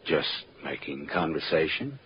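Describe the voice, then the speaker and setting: An actor with an American accent playing a tough, wisecracking private detective in a clipped, wry 1940s radio noir style. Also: male voice, extremely low pitch, big pitch swings, unhurried, a little hiss or hum, full character voice. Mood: guarded, defiant. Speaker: lead actor and narrator. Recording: muffled old radio recording with music.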